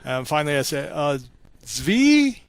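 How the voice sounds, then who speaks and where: A man's voice: a little high, vocal fry, smooth, no room sound. Thoughtful man, close dry sound. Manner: halting and reflective, animated when acting out remembered dialogue. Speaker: podcast guest, author of fiction. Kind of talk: conversational interview storytelling.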